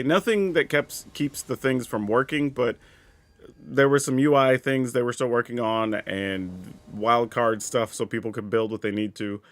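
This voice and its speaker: A man's voice: medium pitch, dry recording. A man, congested from a sinus cold, chatting easily and thinking aloud while streaming a card game. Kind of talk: casual live-stream chat while playing.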